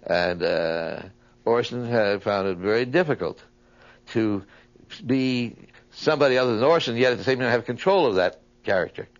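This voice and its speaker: A man's voice: mid-range voice, normal speed. A man giving thoughtful, reflective interview commentary, often restating his points with small asides. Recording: dull, muffled audio.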